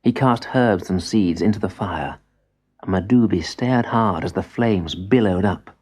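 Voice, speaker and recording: A man's voice: low voice, measured pace, darkish tone, husky. A man narrating a children's story expressively, on an old cassette transfer. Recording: dull cassette transfer with music behind. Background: instrumental music.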